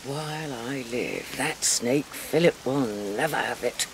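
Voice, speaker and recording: An actress playing a proud, weary ageing queen in a historical audio drama, imperious and dryly sharp-tongued. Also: rumbling low, takes it slowly, slight background noise, heavily acted. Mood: weary, wry. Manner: imperious, dry, wry. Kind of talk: British-made historical radio drama acting.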